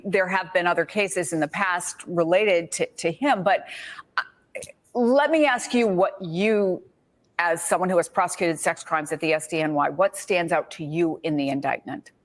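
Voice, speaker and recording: A woman news anchor who questions legal guests in a conversational way. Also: on the low side. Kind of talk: TV news interview.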